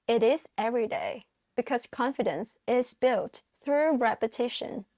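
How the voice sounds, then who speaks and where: A young woman speaks English as a learned language, with a non-native accent and an earnest, encouraging way of addressing viewers. Her voice sits a little high. The recording is dry and close, with no room sound around it. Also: measured pace, a little mellow, dry recording. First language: non-English, learned English at school. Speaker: vlogger giving advice to migrants. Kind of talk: scripted advice monologue.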